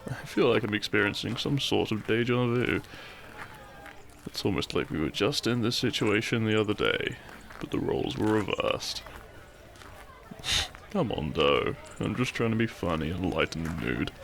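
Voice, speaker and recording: Man acting a cheeky low-voiced bandit outlaw. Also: animated, normal speed, creaky, a bit bright. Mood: teasing, flirtatious. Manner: teasing, gallant, dramatic. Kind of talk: audio roleplay acting, addressing the listener.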